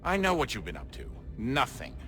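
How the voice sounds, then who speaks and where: A middle-aged father, curt, demanding and quick to scorn, in a video game drama. His pitch is average but moves around a lot, and music plays behind him. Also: wildly swooping pitch, sharp tone. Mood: cold, scornful. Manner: curt, cutting. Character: stern, demanding, scornful father of a grown son. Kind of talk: naturalistic video game acting.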